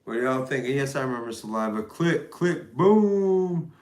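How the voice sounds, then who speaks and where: A man's voice: mid-range voice, expressive, unhurried, a little mellow. A man in his forties hosting a live stream, chatting casually in a loose, joking, rambling way. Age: 40s. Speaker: stream host.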